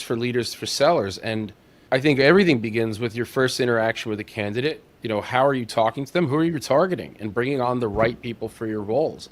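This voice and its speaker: A man hosting a podcast, opening with a brisk, upbeat intro and then chatting with his guest. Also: mid-range voice. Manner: upbeat, scripted-sounding intro. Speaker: podcast host. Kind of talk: podcast interview.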